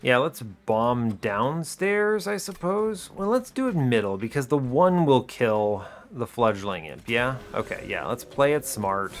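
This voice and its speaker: A man's voice: medium pitch, big pitch swings, a little slow, hardly any pauses, soft background music. A man giving casual video game commentary, thinking aloud in a wry, chatty way with short clipped reactions. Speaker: video game streamer explaining his play.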